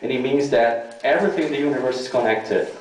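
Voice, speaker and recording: A man's voice: medium pitch, brisk. A man talks through a scientific idea, explaining it step by step and leaning on "you know". His voice sounds far from the microphone in a very echoey room, with a faint hum of ambience behind him. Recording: distant echoey room recording.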